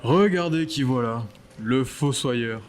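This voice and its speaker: A man's voice: medium pitch, slow, close to an everyday voice. A man playing a breezy, teasing and casually skeptical colleague at an agency in a French audio drama. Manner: casual, joking. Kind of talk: French audio fiction dialogue.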